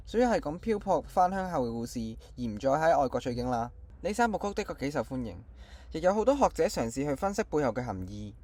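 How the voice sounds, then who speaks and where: A man's voice: on the high side, darkish tone. A man with a Hong Kong Cantonese accent presents scripted film trivia as an online channel host.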